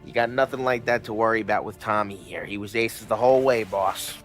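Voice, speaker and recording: A man's voice: lowish voice, a little fast, fairly bright tone, soft background music, close to an everyday voice. An actor playing a brash, mocking male mobster who bullies his crew, in a game cutscene. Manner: taunting, cackling. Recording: roomy reverb, faint noise.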